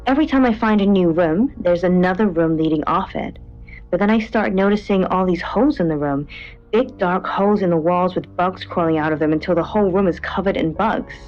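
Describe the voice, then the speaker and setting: An actress playing a polite, tentative co-host of a spooky radio show, chatting in an understated, natural way. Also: female voice, lowish voice, a little fast, natural voice. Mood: composed presenting. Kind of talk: conversational late-night radio banter. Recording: dull lo-fi radio sound over music. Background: background music.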